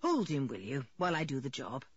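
A woman voicing an unconcerned, brisk male country vet, reassuring and matter-of-fact, in an audiobook. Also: extremely low pitch, big pitch swings, breathy edge, dry recording, highs cut off, voice pushed down, full character voice. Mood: calm reassurance. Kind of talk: audiobook character voice.

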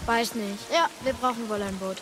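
Woman as young son, high-pitched, inquisitive, earnest, hesitant, audio drama acting. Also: normal speed, noisy background, lightly acted. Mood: unsure. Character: curious, earnest young boy, warrior's son.

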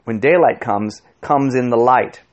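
A man voicing a pompous, cultured fat man in an audiobook, oratorical and condescending in a drawn-out delivery. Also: medium pitch, muffled recording, natural voice. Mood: lofty, rapturous. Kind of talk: audiobook character voice.

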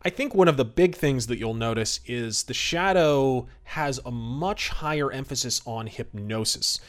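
A man's voice: mid-range voice, unhurried. A man hosting a podcast, giving casual history commentary in a conversational way with wry asides. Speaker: podcast host.

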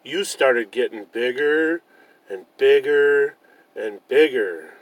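A man voicing a loving, gentle mother bear who reassures her cub in a children's storybook. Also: medium pitch, animated, slow, no room sound, poor audio. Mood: tender.

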